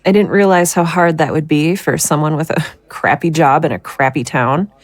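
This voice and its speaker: A woman playing a guilt-ridden, worn-down young mother in an audio drama, speaking haltingly and emotionally. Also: low voice, no room sound. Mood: weary, confessional. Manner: halting, emotional confession with sighs.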